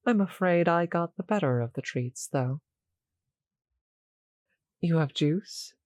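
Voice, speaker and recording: A performer plays a doting, possessive vampire in an intimate audio roleplay. The voice is mellow and unhurried, with a creaky, breathy purr. It teases softly and sounds very close and dry. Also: mid-range voice, animated, no room sound. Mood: tender, doting. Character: seductive, doting vampire with a teasing, possessive streak.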